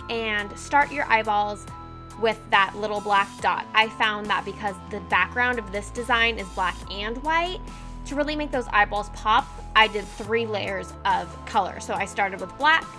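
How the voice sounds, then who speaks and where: A young woman in her twenties chatting upbeat through a nail art tutorial in her bedroom, with self-mocking asides. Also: mid-range voice. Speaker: beauty vlogger. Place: bedroom, indoors.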